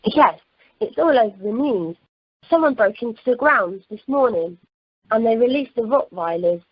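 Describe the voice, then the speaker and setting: A woman's voice: a little high, lively intonation, normal speed, husky, dry recording. A woman playing a chirpy, kindly holiday rep in an amateur radio comedy, on a dull conference line. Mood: welcoming.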